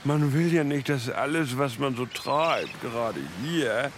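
A man's voice: mid-range voice, a little slow, lightly acted. A man playing a wary, earnest spa patient in radio comedy dialogue, recorded with noisy room ambience. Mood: relieved. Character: wary, discretion-minded male spa patient.

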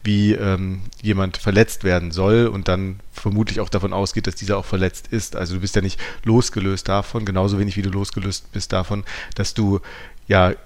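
A man's voice: low-pitched, flat delivery, a little fast, a little mellow. A man hosting a podcast, talking reflectively and searching for his words as he thinks aloud. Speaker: podcast host. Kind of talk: reflective conversational discussion.

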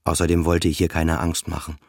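A man's voice: rumbling low, completely flat delivery, fairly quick, gravelly, dry recording, studio quality, exaggerated voice. An actor playing a depressed, self-doubting man who narrates his own story in a subdued, confessional radio play style. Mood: brooding. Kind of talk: introspective first-person radio play narration.

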